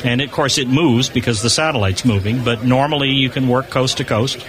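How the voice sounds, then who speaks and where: A man's voice: medium pitch, a little fast. An American man explaining things informally and enthusiastically as a spokesman in an interview. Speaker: amateur satellite organization spokesman being interviewed. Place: convention hall exhibition booth. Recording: busy crowd noise behind, convention floor. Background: crowd chatter.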